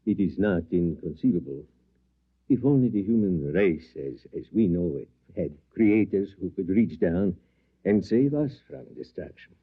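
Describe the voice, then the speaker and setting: An actor playing a detached, skeptical scientist in a 1950s radio drama, measured and dryly clinical. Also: male voice, low-pitched, expressive, breathy edge, heavily acted. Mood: pensive. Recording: tinny, noisy old radio recording.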